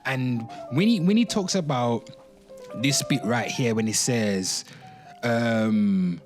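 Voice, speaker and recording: A man's voice: medium pitch, slow, soft background music. A man giving informal, emphatic livestream commentary, repeating his phrases and speaking straight to his listeners, with occasional swearing. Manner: emphatic, repetitive, swearing, addressing listeners directly. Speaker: streamer, commentator giving opinions. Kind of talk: informal livestream commentary with some reading aloud.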